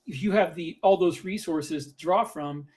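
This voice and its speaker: A man with a somewhat high voice discusses ideas as a podcast co-host. He talks informally and argues his points with emphasis, repeating phrases as he goes. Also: normal speed, darkish tone. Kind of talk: casual opinionated discussion.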